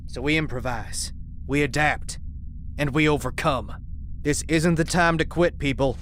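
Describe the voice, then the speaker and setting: Actor as a male military commander, higher-set voice, authoritative, rallying, faint noise behind. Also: measured pace, fairly bright tone. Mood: resolute. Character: stern, steadfast male military resistance commander.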